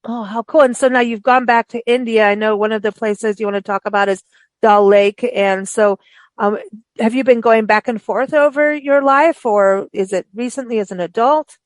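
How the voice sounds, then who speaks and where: A woman hosting a radio interview, raised in Africa, who talks in a chatty, animated way with many restarts. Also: on the high side, moderate pace, no echo. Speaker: radio show host. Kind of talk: casual interview chat.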